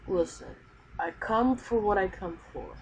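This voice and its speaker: A woman voicing a haughty, cryptic male god of destruction in a game roleplay, with a lordly, deliberate manner. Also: medium pitch, lively intonation, husky. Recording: noisy home recording.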